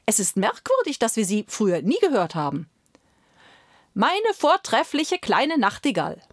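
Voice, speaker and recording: A woman's voice: on the high side, huge pitch swings, unhurried, no echo, clean studio sound, voice pushed up, exaggerated voice. A woman voicing a pompous male court chamberlain who speaks in a flowery, fussy way.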